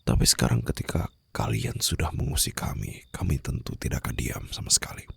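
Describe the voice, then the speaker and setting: A man's voice: sing-song, fairly quick, a bit bright, exaggerated voice. A man playing a cold, menacing mafia boss in an ASMR roleplay, speaking formally and condescendingly to a captive, with mocking sweetness, close on the microphone. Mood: stern, accusing. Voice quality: very low, gravelly, husky. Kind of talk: ASMR roleplay acting, addressed to the listener. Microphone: close studio-style microphone.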